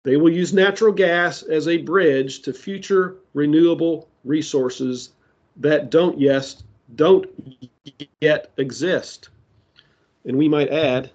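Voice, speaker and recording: A man preaching a sermon that ties prophecy to current events, speaking deliberately with side remarks, his voice giving out. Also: on the high side, a little mellow, dull audio. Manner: slow, deliberate delivery with asides. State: losing his voice.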